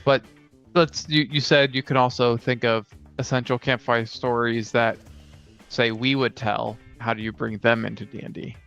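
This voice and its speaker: A man's voice: mid-range voice, flat delivery, normal speed, creaky, a bit bright, clear, resonant tone. A man co-hosting a casual podcast, chatting about tabletop gaming from a player's side. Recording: slightly dull audio, guitar music behind.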